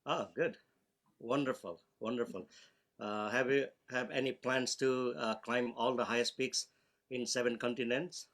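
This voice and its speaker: A man's voice: medium pitch, fairly even intonation, a little slow, a bit bright. A man from Nepal asking the guest questions from the audience, chatty and friendly. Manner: chatty, laughs often. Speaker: audience caller.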